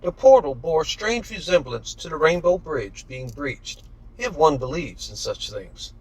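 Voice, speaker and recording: A man's voice: fairly high pitch, big pitch swings, measured pace, husky, poor audio. A man reading his own novel aloud as scripted audiobook narration.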